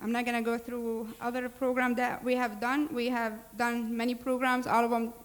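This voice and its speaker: A woman's voice: fairly high pitch, flat delivery, moderate pace. A woman from Syria, speaking earnestly as a board president introducing a program, with small grammar slips. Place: church hall.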